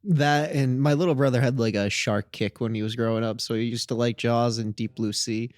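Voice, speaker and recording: A young man co-hosting a casual movie podcast, jokey and brisk when he sums up a plot. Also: medium pitch, fairly even intonation, a little mellow, no echo, clean studio sound. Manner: jokey, rapid-fire plot recap, puts on silly voices. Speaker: podcast co-host.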